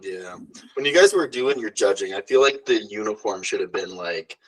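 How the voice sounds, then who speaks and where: A man co-hosting a podcast, joking and throwing in quick reactions and short questions in casual chat. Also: lowish voice, expressive, husky, low-quality recording. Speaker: podcast co-host.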